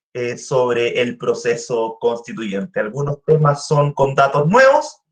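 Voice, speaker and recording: A man from Chile with a Chilean Spanish accent, giving a guest lecture over a video call, speaking slowly and deliberately with frequent "eh" fillers. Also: mid-range voice, a bit bright. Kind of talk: online academic lecture.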